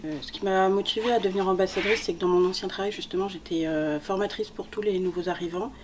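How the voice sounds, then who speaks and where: A woman's voice: mid-range voice, fast, background noise. A woman in her mid-twenties, a returning student, speaking casually with many fillers. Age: 20s. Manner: steady, filler-heavy. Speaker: student ambassador. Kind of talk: casual testimonial.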